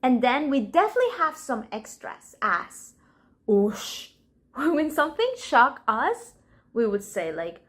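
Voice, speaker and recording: A woman's voice: high-pitched, very animated, a bit bright. A Colombian woman, a native Spanish speaker, giving a casual Spanish lesson in English and acting out playful example scenes. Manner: slow, playful, acts out little example scenes. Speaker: Spanish teacher. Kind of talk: casual language lesson to camera.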